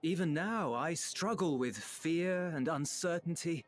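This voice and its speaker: A man playing an earnest younger officer of a ruined empire in a video game, speaking in a solemn, measured and impassioned way. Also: a little high, soft background music, lightly acted. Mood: solemn, resolute. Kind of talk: dramatic video game voice acting.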